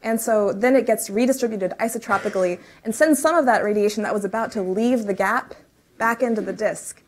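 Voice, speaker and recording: Woman giving a technical science talk. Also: on the high side. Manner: explains in measured technical steps with fillers. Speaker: research speaker. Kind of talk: conference talk and Q&A. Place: lecture room.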